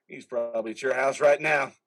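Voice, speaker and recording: A man's voice: mid-range voice, lightly acted. A man playing a taunting, menacing imagined voice in a veteran's head, sneering and needling in a dramatic play reading. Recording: remote reader's theater call.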